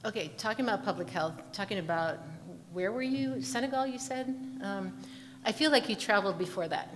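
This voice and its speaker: A woman's voice: mid-range voice, expressive, fairly quick. A woman interviewing a guest at a live evening event, asking curious, casual questions. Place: event hall. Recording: long hall reverb with background noise.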